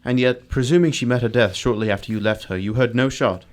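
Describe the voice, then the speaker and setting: Man playing commanding, analytical gentleman detective. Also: medium pitch, lightly acted. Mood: gently probing. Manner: deliberate, authoritative, courteous. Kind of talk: radio drama acting.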